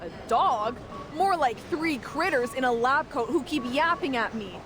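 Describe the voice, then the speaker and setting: An actress playing an irritable, sharp-tongued female character in a video game, snappy and sarcastic. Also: high-pitched, lively intonation, a little slow. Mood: annoyed, sarcastic. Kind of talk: dramatic video game voice acting. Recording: clear background ambience noise.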